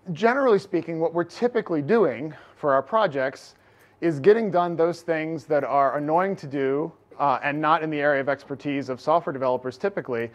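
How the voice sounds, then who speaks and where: A middle-aged man giving a conference talk from slides in a large lecture hall, projecting loudly and energetically. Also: a little high, highs cut off. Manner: energetic, loud, projecting without amplification. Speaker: conference speaker. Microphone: room recording mic, no lapel.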